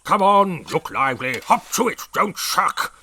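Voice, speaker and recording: A man's voice: sits high, very animated, unhurried, sharp tone, a little hiss or hum, voice pushed up, heavily acted. A man voicing a blustering, hot-tempered old male dinosaur brigadier who bellows and roars his orders. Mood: bellowing angry. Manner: bellowing, roaring.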